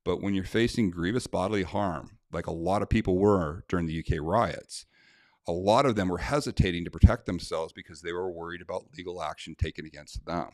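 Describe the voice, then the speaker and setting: A man's voice: low-pitched, a little fast, dry recording, studio quality. An American man, a self-defense instructor, talking casually to camera in a blunt, indignant way with many fillers. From United States. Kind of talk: casual talk to camera, reading a letter aloud.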